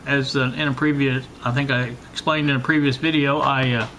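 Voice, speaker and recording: Older male hobbyist host, sixties, average pitch, unscripted and hesitant, amateur recording with noticeable background noise. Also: level tone, brisk, pushed and bright, poor audio. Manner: hesitant, rambling. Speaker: hobbyist electronics repair host. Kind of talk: casual, unscripted how-to talk. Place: kitchen at home. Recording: clear background noise, amateur home video.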